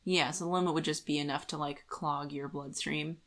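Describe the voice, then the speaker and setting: A woman's voice: sits low, steady tone, fairly quick, a bit bright. A woman co-hosting a podcast, discussing a book casually and reasoning her points through in a conversational way. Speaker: podcast co-host.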